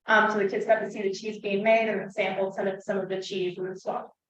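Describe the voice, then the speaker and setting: A woman's voice: mid-range voice, level tone, a little fast, far from the mic. A woman steadily recounts a student field trip, leaning on frequent fillers as she goes. She sits back from the mic in an echoey room, and the sound is dull and muffled. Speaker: presenter. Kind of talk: conference presentation.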